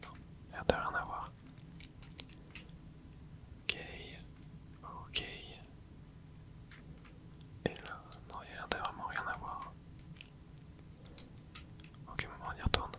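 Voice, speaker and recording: An ASMR gaming host whispering a running commentary while playing, muttering quiet reactions into a close microphone. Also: slow pace, pauses often.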